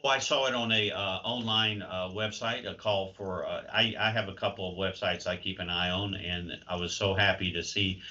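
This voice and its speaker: A man's voice: sits low, fairly even intonation, normal speed, hardly any pauses, sharp tone, dull audio. A man answering interview questions as a playwright in a hesitant, thoughtful, wry conversational way. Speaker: playwright and creative writing professor, interview guest.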